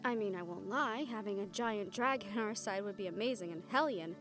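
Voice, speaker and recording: A woman's voice: mid-range voice, fairly quick, music in the background, natural voice. A woman acting a caring, encouraging siren-like mentor in an intimate audio roleplay, with a tender, earnest manner. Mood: encouraging, wry.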